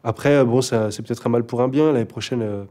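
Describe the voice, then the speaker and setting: Young rapper guy, chill and mumbly, lots of euh, soft warm tone. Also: medium pitch, fast. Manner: laid-back, hesitant, many fillers and restarts. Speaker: rapper guest. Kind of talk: casual interview chat.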